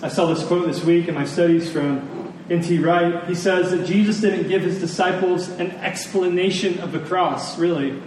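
Echoey, higher-voiced man preaching a sermon. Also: steady tone, a little mellow. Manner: earnest, deliberate, repeats phrases for emphasis. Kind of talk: sermon to a congregation. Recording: very echoey room, distant mic, faint noise.

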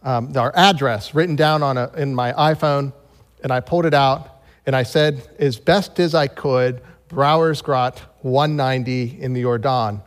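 Long hall reverb, American man, medium pitch, pastor's sermon, scripture reading, personal storytelling. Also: measured pace. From United States. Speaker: pastor preaching to a congregation. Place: large reverberant room, speaking to a congregation.

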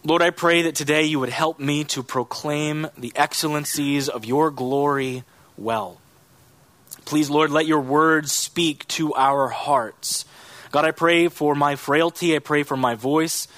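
Bright-voiced pastor preaching a sermon. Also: male voice, a little high, fairly even intonation, dry recording. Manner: earnest, measured preaching with emphatic rises. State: has a cough. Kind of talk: sermon with scripture reading and prayer.

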